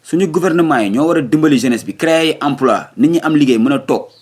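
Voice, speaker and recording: Man acting a rapid-fire, husky Senegalese young son. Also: on the high side, darkish tone, close to an everyday voice. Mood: frustrated. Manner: argumentative, quick excuses. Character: determined, evasive young son eager to leave home. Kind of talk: comic cartoon dubbing.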